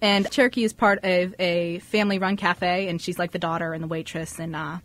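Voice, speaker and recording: A woman's voice: on the low side, little pitch movement, a little fast, fairly bright tone. A young woman co-hosting a live radio show with casual, enthusiastic chat. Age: young adult. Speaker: radio show co-host and scriptwriter. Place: radio studio.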